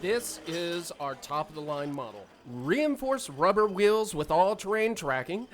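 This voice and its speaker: A woman's voice: lowish voice, sing-song, a little slow, a little hiss or hum. An actress playing a female stroller saleswoman in a radio drama, salesy and easily flustered. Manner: salesy patter turning flustered. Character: female stroller saleswoman, pushy then squeamish.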